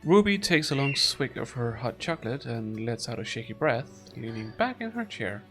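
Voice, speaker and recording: Low-voiced woman reading game text lively. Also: normal speed, faint music behind. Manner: lively, expressive reading with sudden bursts. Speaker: streamer and co-player. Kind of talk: reading game narration aloud and chatting.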